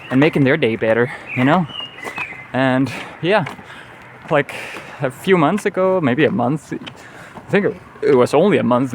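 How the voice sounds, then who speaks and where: A man with a Danish accent, a native Danish speaker, talking earnestly and rambling in a casual travel vlog, often adding "you know". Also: on the high side. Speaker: travel vlogger. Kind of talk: casual walking vlog monologue. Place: outdoors on a city street. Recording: clear street traffic noise. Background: city traffic and vehicles.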